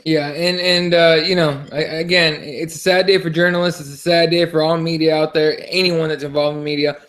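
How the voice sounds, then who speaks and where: Male podcast co-host, higher-pitched voice, casual sports chat, unpolished and repetitive delivery with swearing. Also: little pitch movement, fairly quick, fairly bright tone.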